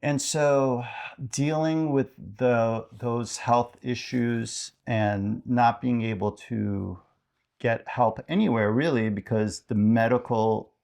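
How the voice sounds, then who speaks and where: A man co-hosting a podcast as an interviewed author, talking in a casual, reflective way and haltingly thinking aloud. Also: mid-range voice, gravelly, a little mellow.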